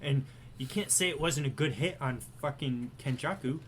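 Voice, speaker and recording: A man in the middle of an ordinary pitch range, co-hosting a podcast. He gets excitable and swears freely, and his voice jumps in loudness when he gets worked up. A faint hiss of noise runs behind him. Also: normal speed. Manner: excitable, profane, animated swings in loudness. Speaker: podcast co-host. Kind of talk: casual chat.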